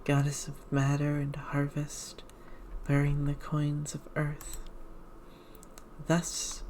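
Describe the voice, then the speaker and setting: A woman reads a game aloud in a soft ASMR hush that often drops to a whisper. Her voice is low and dark, and she takes her time with a very slow, measured delivery. There is faint typing behind her. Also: a little hiss or hum, rough recording. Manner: hushed, slow, measured reading with whispered passages.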